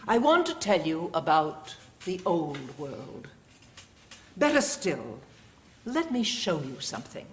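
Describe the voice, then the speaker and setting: An actress playing a stern, sanctimonious matron of an indoctrination centre in an audio drama, condescending and preachy. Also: female voice, on the low side, sing-song, takes it slowly, a little hiss or hum. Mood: preachy, commanding. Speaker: actor.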